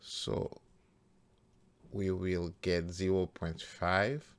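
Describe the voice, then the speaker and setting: Very slow-talking man teaching math. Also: low-pitched, little pitch movement, clear, resonant tone. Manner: deliberate, halting phrase by phrase. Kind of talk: step-by-step worked-problem tutorial.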